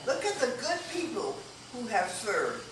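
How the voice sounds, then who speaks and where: A woman's voice: on the high side, wildly swooping pitch, measured pace. A woman playing a proud, plainspoken male soldier-president in a readers' theater stage reading. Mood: reflective, encouraging. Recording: echoey room, distant mic, noisy.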